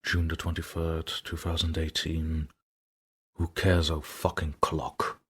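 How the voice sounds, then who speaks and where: A man's voice: very deep voice, breathy edge, exaggerated voice. A man playing an exhausted, guilt-ridden young man who is falling apart, reading his journal in a trembling, stammering, pleading voice. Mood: haunted, whispering. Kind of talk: horror story narration, journal reading.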